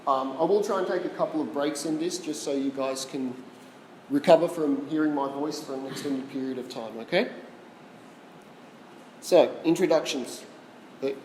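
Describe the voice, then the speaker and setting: A man's voice: on the high side, a little mellow, a little hiss or hum. Australian man giving a casual talk. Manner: casual, chatty, asks the audience questions. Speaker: tech talk presenter. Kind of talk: live presentation to a small audience. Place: meeting room with an audience. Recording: roomy echo with long reverb.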